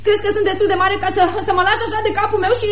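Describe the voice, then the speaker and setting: A high, youthful female voice plays a cheeky, resentful teenage daughter in radio theatre. She teases and challenges in a pert, girlish way. A slight hum sits under the recording. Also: level tone, a bit bright. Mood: resentful, demanding.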